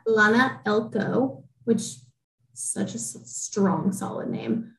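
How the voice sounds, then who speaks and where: A woman's voice: medium pitch, sing-song, measured pace, vocal fry. A woman hosting a casual podcast, friendly and heavy on fillers like "um". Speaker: podcast host. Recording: echoey room, far from the mic.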